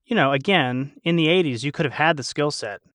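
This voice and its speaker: A man's voice: on the high side, brisk, a bit bright, dry recording, clean studio sound. A man hosting a casual discussion in a conversational way. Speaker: discussion host. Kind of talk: casual chat.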